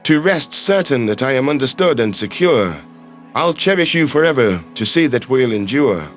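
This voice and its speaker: A man's voice: medium pitch, lively intonation, moderate pace, faint music behind, pitched below the performer's own voice, close to an everyday voice. A man voicing a playful, romantic human ambassador who speaks with shy self-deprecation in a sci-fi audio story. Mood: romantic, bashful.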